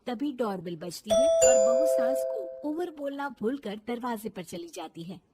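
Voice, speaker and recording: A woman storyteller reading scripted narration for an animated moral story. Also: a little high, measured pace, husky, soft background music.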